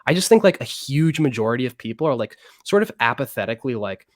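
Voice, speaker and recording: A man's voice: mid-range voice, very animated, quick pace, no room sound, studio quality. A man answering interview questions in a loose, rambling way, full of fillers and restarts. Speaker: interview guest. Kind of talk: casual conversational interview.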